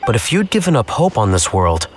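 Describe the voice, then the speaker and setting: An actor playing a cool, analytical young scholar in a game, speaking with composed, probing articulation. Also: male voice, medium pitch, very animated, fairly quick, a little hiss or hum, lightly acted. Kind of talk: dramatic game voice acting. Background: background music.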